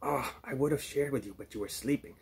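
A man's voice: medium pitch, fast, creaky, voice pushed up, lightly acted. Casting: man puppeteering silly, eager little monster, childlike exclamations, puppet talk show, noisy home recording. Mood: eager, excited.

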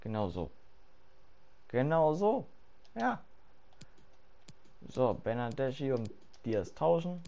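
A hoarse man talking through his game setup in casual commentary, swearing freely and thinking aloud with fillers, late at night. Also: medium pitch, slow, pauses often. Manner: loud and excited at the start, quieter later. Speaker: gaming YouTuber. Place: home room at night.

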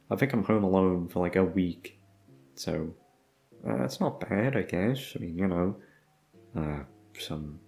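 Low, creaky-voiced rambling young man. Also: darkish tone. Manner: rambling, hesitant, sweary. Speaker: audio roleplay creator. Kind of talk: casual unscripted chat.

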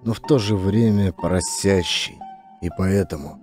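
A man's voice: low-pitched, a little slow, a little mellow, faint music behind. A man narrating a scripted satirical story as an audiobook actor.

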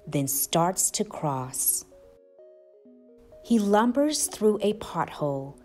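A woman storyteller reading a children's picture book aloud with deliberate, expressive phrasing. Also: lowish voice. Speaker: storyteller and channel host.

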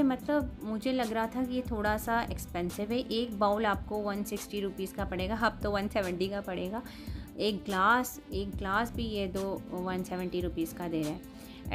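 A woman in her thirties with a Pakistani Urdu accent talks through her shopping haul in a relaxed, chatty way. She judges each item's price and quality with plain frankness, her voice sitting at a middle pitch. Loud music plays under the voice-over. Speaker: vlogger. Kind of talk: casual shopping haul vlog.

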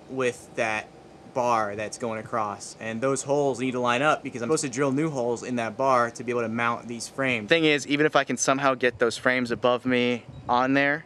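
A man's voice: mid-range voice, a bit bright. American guy in his 30s chatting to camera about stuff, outside, bit of background noise. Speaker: sailing vlog host. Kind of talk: casual explaining to camera. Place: outdoors on a sailboat in a boatyard. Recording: outdoor recording with ambient noise.

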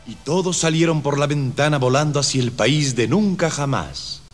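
A man's voice: a little high, normal speed. A man with a Mexican accent narrating a scripted children's story on an old 78 rpm record. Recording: vintage 78 rpm record, music behind.

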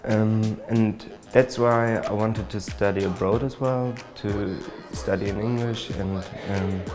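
A man's voice: on the low side, steady tone, slow, over music. A man, a medical student, speaking thoughtfully and a little hesitantly. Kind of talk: interview testimonial.

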